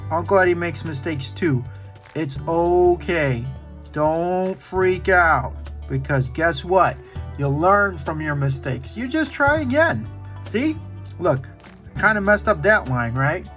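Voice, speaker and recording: A man's voice: high-pitched, fairly bright tone. A man hosting a children's drawing lesson, talking to kids directly in a playful, encouraging way. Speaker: children's drawing tutorial host.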